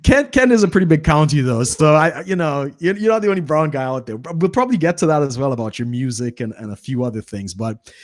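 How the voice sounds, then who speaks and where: A man's voice: a little high, animated, fast talker, no echo. Host: man in his thirties, dry close mic, rambling friendly questions. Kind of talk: casual interview. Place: small room at home. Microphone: studio mic on boom arm, headphones.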